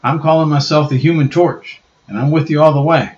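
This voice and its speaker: A man doing excited Southern youth Johnny. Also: fairly high pitch, a little fast, pitched above the performer's own voice, close to an everyday voice. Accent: American Southern. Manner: eager, excited. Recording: dull roomy home recording.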